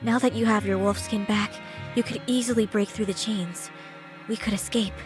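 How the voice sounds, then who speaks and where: An actress playing a determined, devoted young nun on the run in a dramatic visual novel, earnest and resolute, with music underneath. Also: female voice, mid-range voice, fairly even intonation, normal speed, close to an everyday voice. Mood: calm, planning.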